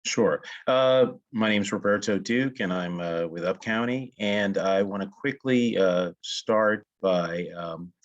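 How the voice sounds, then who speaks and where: A man with a slightly low voice gives a presentation to an online meeting. He follows prepared points but hesitates often, pausing between phrases. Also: lo-fi sound. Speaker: co-host, presenter. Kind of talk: semi-scripted slide presentation.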